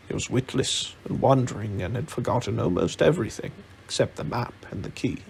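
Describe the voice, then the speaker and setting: A man playing a grave, proud old wizard who speaks in a slow, admonishing way for a storybook. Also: mid-range voice, lively intonation, vocal fry, a little mellow, a little hiss or hum. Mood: grim, guarded.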